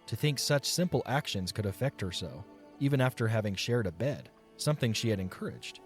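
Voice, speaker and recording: Male narrator, somewhat deep, scripted fantasy storytelling, light music underneath. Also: animated, fairly quick. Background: soft music.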